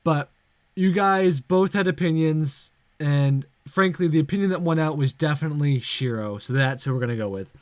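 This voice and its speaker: A man's voice: fairly high pitch, normal speed, dry recording. A man hosting a casual let's play, chatting with playful asides and reading visual novel text aloud. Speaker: YouTube let's play host. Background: game music.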